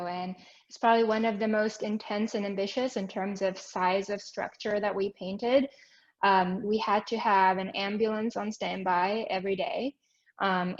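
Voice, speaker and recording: A woman's voice: medium pitch, dull audio. A young woman from Switzerland, guest on a webinar, telling her story casually with many ums and restarts over a video call. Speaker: guest, ocean art nonprofit.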